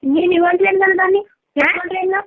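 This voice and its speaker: A woman's voice: extremely high pitch, steady tone, brisk, a crowd in the background. A woman with a Telangana Telugu accent, answering back defiantly in a heated phone argument. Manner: defiant, retorting. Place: recorded phone call. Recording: tinny phone-call recording, voices behind.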